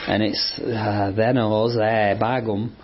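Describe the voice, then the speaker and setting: A man in his fifties from England with a Manchester-area accent, talking haltingly in an interview, with fillers and restarts. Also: on the low side, little pitch movement, a crowd in the background. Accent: northern English, Manchester area. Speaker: interviewee, photographer. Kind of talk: casual interview talk. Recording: dull lo-fi radio sound.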